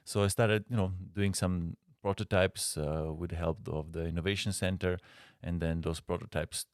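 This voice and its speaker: A man from Slovenia with a Slovenian accent, a guest designer answering interview questions in a halting way with frequent pauses. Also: low voice, steady tone, smooth, dry recording, studio quality.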